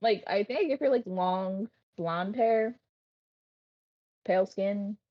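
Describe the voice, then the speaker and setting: A woman's voice: mid-range voice, slow pace. A woman narrating a tabletop game as game master, playful and teasing, swearing casually, on dull lo-fi stream audio.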